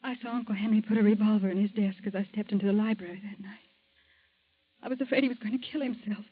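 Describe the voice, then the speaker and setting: An actress playing an anxious young woman in a 1940s radio drama, explaining herself in a rushed way. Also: medium pitch, steady tone, slightly rough, no echo, close to an everyday voice. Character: distressed young woman, the niece. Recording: muffled dry old radio recording.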